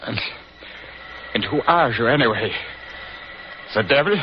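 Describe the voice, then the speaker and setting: Man acting a slow, weakened captured spy. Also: mid-range voice, animated, close to an everyday voice. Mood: weak, bitter. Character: defeated, sardonic male German spy, weakened. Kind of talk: 1940s radio drama acting. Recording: dull, noisy 1940s radio recording.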